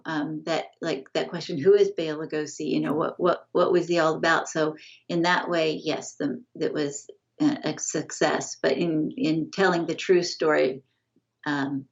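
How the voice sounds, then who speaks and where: A middle-aged woman talking as an interview guest over a video call, halting and full of restarts. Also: sits low, steady tone. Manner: halting, many restarts and fillers. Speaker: guest, family estate representative. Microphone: video call, earbuds. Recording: dull lo-fi video call audio.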